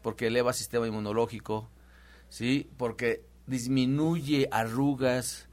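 A man's voice: medium pitch, fairly even intonation, unhurried, darkish tone, soft background music. A man hosting a promotional health talk, speaking loosely with many repeats and fillers. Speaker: host of a health show.

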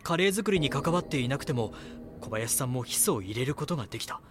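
A man's voice: mid-range voice, lively intonation, fast, lightly acted. An actor playing a young, earnest magazine reporter in an audio drama, politely probing with his questions. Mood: intense, brooding. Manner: polite, deferential when questioning.

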